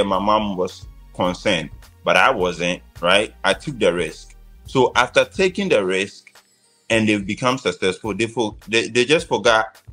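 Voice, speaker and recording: Low-voiced Ghanaian man sharing opinions. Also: fairly bright tone. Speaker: interview guest.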